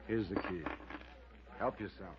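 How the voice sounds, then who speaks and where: A man's voice: low voice, steady tone, a little slow, loud music behind the voice. An American man playing an easygoing small-town cabin owner in a 1950s radio drama, talking in a folksy, offhand way. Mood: casual, affable. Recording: muffled old radio recording.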